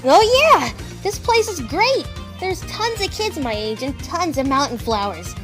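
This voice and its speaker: A woman voicing an excitable, cheerful young animal child, gushing and eager, in a cartoon comic dub. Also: high voice, a little slow. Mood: excited. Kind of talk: comic dub character acting. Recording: music behind the voice.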